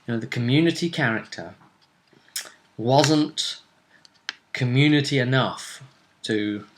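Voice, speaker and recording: A man's voice: medium pitch, slow, slight background noise. A man hosting a tabletop role-play series, chatting casually and wryly as he recaps a tournament.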